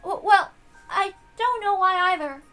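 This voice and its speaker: A woman's voice: very high-pitched, measured pace, sharp tone, soft background music, pitched above the performer's own voice, full character voice. A woman voicing a timid, earnest newborn witch with a hesitant, polite manner in a visual novel reading. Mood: nervous, polite.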